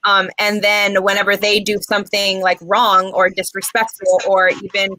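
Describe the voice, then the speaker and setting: A woman in her twenties, born in Mexico and raised in the United States, speaking earnestly as a guest with many pauses. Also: mid-range voice, level tone, bright, forceful tone, no room sound, low-quality recording. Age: 20s. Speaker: guest poet. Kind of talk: casual interview chat.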